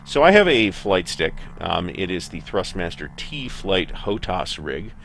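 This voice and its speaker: A man giving casual gameplay commentary and software explanation in a hesitant, rambling way. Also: low voice, very animated, measured pace, creaky, a little hiss or hum. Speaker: gaming video host. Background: spaceship game ambience.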